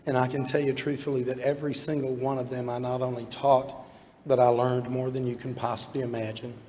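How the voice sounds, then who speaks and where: A man in his sixties with a hoarse voice from illness, giving a heartfelt, self-deprecating award acceptance speech from a podium. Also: mid-range voice, a little mellow. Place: large banquet hall at an awards event. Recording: long hall reverb, faint room noise.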